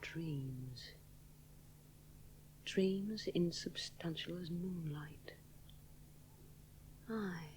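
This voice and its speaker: A woman's voice: on the low side, very slow, pauses often, a little mellow, faint music behind. An actress playing a young woman aviator alone over the sea, musing inwardly, often dropping to a whisper. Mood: brooding. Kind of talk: 1970s radio drama inner monologue.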